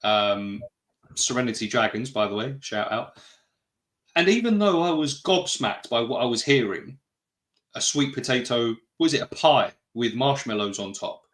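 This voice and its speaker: A man's voice: medium pitch, lively intonation, moderate pace, fairly bright tone. British male host, casual live chat, friendly rambling asides. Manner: chatty, greets viewers, rambling asides. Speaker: podcast host.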